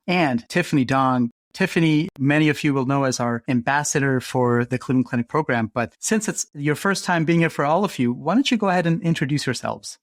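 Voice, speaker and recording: A man's voice: mid-range voice, dry recording, clean studio sound. A man co-hosting a medical podcast, making upbeat introductions of the guests and the case. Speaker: podcast co-host.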